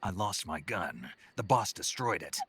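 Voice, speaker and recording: A man playing a gruff, terse special forces soldier in a video game, with an intense delivery. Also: low voice, very animated, a little slow, a bit bright, full character voice. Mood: grim. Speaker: field agent. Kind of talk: cinematic video game acting.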